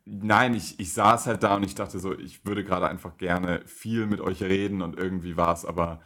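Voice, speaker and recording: A man's voice: deep voice, fairly even intonation, moderate pace, bright, forceful tone. A young man co-hosting a podcast, chatting casually and rambling with many filler words. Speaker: podcast co-host. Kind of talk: casual chat.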